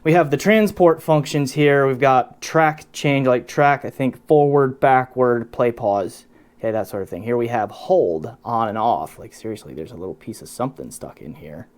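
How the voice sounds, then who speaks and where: A man's voice: medium pitch, normal speed. Casual man reviewing gear on camera. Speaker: audio gear reviewer and channel host.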